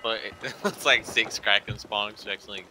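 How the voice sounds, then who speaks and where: A man's voice: fairly low pitch, fairly even intonation, quick pace. A man chatting casually with a friend during a game, joking with some mild swearing. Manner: joking, mildly profane. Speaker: gamer playing with a friend. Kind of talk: casual gaming chat. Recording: background game noise of sea and boat.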